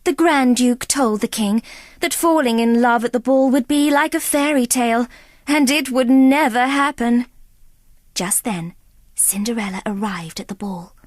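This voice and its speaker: A woman narrating a scripted children's story in an expressive, dramatic way. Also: fairly high pitch, a little slow, no echo, studio quality.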